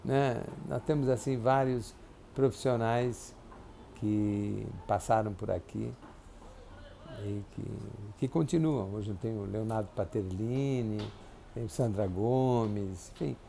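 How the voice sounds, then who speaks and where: Older man, 70s, gravelly and dark-toned; halting, reflective interviewee with faint room hiss. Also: lowish voice. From Brazil. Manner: halting, reflective, many restarts and tag questions. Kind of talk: oral history interview. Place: clinic office.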